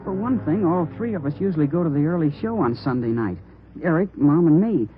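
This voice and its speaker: Vintage radio sound, man as teenage boy, fairly high husky voice, earnest, polite, worried. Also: expressive, fairly quick, music in the background, lightly acted. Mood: earnest, uneasy. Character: earnest, worried seventeen-year-old boy, polite to police. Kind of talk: 1950s radio drama acting. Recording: narrow-band old radio recording.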